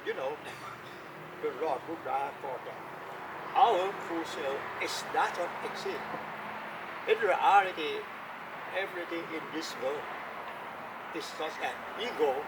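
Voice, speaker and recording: A man from Thailand speaking English with a Thai accent, giving an earnest, deliberate Dharma talk and repeating his key points. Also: fairly high pitch, far from the mic, heavy background noise. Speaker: Buddhist Dharma teacher.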